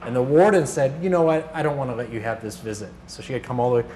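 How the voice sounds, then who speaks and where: A man's voice: medium pitch, animated, quick pace, a little mellow. A man giving an informal workshop talk as a radio organizer, conversational and telling anecdotes in reported dialogue. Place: workshop room, live talk. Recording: clear background noise.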